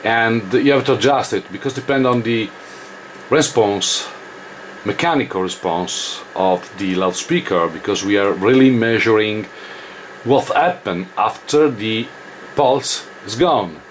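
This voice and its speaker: A man with a lowish voice and non-native English talks slowly through an informal technical demonstration. He is halting, thinking aloud as he goes. There is a clear background noise in the room. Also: rough recording. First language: not English. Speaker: electronics demonstrator. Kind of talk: informal hands-on technical tutorial.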